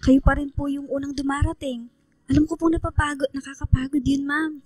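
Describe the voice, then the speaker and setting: Shy, grateful teen schoolgirl played by a woman, high-pitched, hesitant and respectful, close dry radio drama sound. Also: flat delivery, fairly quick, no room sound, faint music behind, close to an everyday voice. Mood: shy, helpful. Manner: hesitant, polite, softly apologetic.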